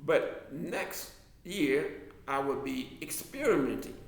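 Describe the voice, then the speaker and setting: An older man with a mild Southern American accent and a slightly high voice talks to a class in a loose, wandering way, stopping and restarting his sentences often. The room around him is echoey. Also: animated, slow pace, creaky. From Alabama, US South. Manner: digressive, many restarts and fillers. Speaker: author and lecturer. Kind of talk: rambling talk to a class.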